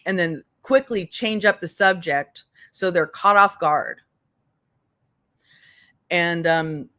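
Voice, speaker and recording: A woman's voice: fairly low pitch, a bit bright. A woman giving casual, unscripted livestream commentary in a blunt, profane, exasperated manner, repeating points for emphasis as she goes. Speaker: livestream host and legal commentator.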